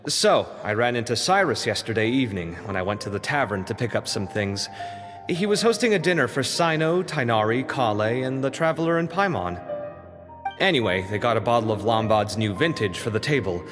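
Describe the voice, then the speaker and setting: A man voicing a young, expressive and somewhat fussy man in a video game, lively and talkative. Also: medium pitch, brisk, lightly acted. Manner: lively, chatty and a little flustered. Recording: reverberant game scene with music.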